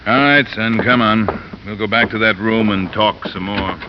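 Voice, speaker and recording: An actor playing a firm, businesslike police officer in 1950s radio drama, brisk and authoritative. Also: male voice, on the low side, fairly even intonation, lightly acted. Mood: resigned, businesslike. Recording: noisy, muffled vintage radio recording.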